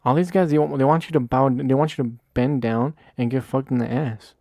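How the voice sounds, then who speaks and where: A man's voice: medium pitch, steady tone, a little fast, dark tone, dry recording, clean studio sound. A man talking bluntly and profanely in a heated casual discussion, repeating himself as he goes. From United States.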